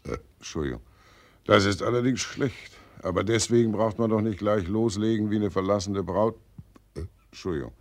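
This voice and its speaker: An actor playing Death as a fat, cynical, belching undertaker in a 1940s radio play. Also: male voice, fairly low pitch, moderate pace, natural voice. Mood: mocking. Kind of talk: 1940s radio drama acting.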